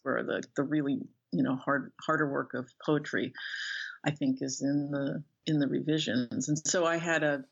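A middle-aged American woman being interviewed as an author, speaking thoughtfully and haltingly as she searches for words. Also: sits low, fairly even intonation, vocal fry, husky, highs cut off. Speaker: interview guest, author and professor. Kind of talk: reflective interview conversation.

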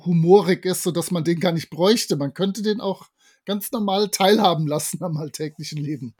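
A man's voice: high voice, moderate pace, darkish tone, husky. A man co-hosting a podcast, casually reviewing an audio drama in a colloquial, wry way. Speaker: podcast co-host.

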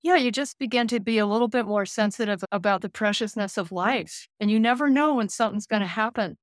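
A woman in her late sixties, a care manager and counselor, speaking gently and thoughtfully in an interview, often pausing to find her words. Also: mid-range voice, no room sound, studio quality. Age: 60s. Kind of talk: reflective interview conversation.

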